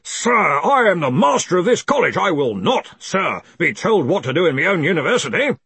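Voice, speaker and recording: A man's voice: high-pitched, very animated, moderate pace, sharp tone, slightly rough, no echo, pitched above the performer's own voice, full character voice. A man voicing a pompous, furious old arch-chancellor of wizards in an audiobook, bellowing and imperious.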